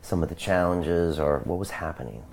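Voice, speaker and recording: A man's voice: low voice, normal speed, vocal fry, darkish tone, husky. A man hosting an interview, asking brief and direct questions. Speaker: host.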